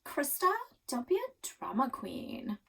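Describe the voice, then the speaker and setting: Woman playing a scheming, dramatic teen girl, animated pitch. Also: takes it slowly, creaky, slightly rough, distant mic, full character voice. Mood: pointed. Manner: theatrical, casual teen phrasing. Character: scheming teenage girl, dramatic, wheedling and falsely resigned.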